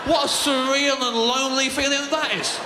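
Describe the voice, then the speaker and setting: A man's voice: very high-pitched, steady tone, normal speed. A man speaking in a comedic performance style. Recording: echoey hall, loud background noise.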